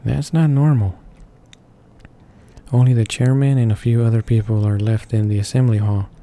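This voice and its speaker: A man's voice: medium pitch, steady tone, moderate pace, mellow. A man reading a visual novel's narration aloud, stumbling a little over the words. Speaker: video game let's player. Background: faint music.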